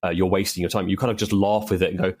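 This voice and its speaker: A man's voice: sits low, little pitch movement, rapid-fire, darkish tone. A man in a casual interview, talking candidly and often quoting his own thoughts. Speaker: audio drama co-creator, interview guest.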